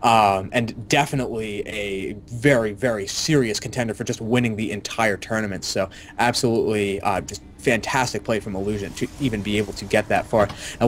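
A man's voice: lowish voice, slight background noise. Chatty male esports co-caster. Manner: chatty, analytical, enthusiastic. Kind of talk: live game analysis.

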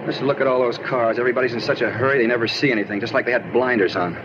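An American actor playing a moody, self-justifying murder suspect in a 1950s radio crime drama, insistent and matter-of-fact. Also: male voice, mid-range voice, steady tone, very fast, close to an everyday voice. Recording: dull, noisy old radio recording.

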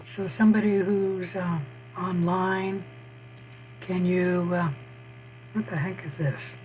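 Hoarse man teaching online, slow and halting with lots of "uh" pauses, fairly high voice, faint hum behind. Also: steady tone, darkish tone, highs cut off. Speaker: college biology instructor. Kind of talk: online lecture over screen share. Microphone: computer mic on a video call.

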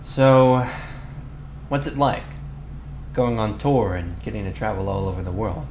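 A man playing a flustered, defensive teenage bully with a crush in an ASMR boyfriend roleplay, talking in a halting, sheepish, slangy way. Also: on the low side, fairly even intonation, darkish tone, lightly acted. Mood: curious. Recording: roomy echo, clear background noise.

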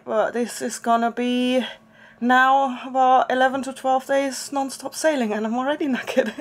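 A woman in her thirties hosting a sailing vlog, talking casually to a camera held at arm's length and laughing often. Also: a little high. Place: outdoor market and sailboat.

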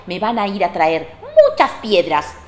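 A woman's voice: mid-range voice, wildly swooping pitch, measured pace, darkish tone, slight background noise. A woman voicing a protective, indignant mother goat in a children's story, emphatic and exclamatory. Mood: indignant, firm. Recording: roomy, echoing sound.

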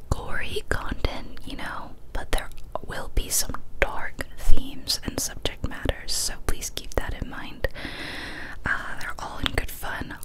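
A young adult woman speaking in a soft, breathy whisper, gentle and unhurried, in intimate ASMR style, close to a studio microphone. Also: rough recording. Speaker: ASMR YouTuber and host. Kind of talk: whispered ASMR, intimate talk and story reading. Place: small cozy indoor room. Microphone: large studio microphone close to the mouth.

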